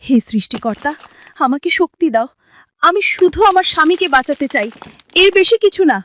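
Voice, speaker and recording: A determined, devoted young wife of a cartoon fairy tale, played by a woman. Her voice is high and full of fervent emotion. A faint noise sits on the recording. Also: animated, fairly quick, fairly bright tone. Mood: desperate, resolute. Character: Mithila, determined devoted wife fighting to save husband. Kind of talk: Bengali cartoon drama acting.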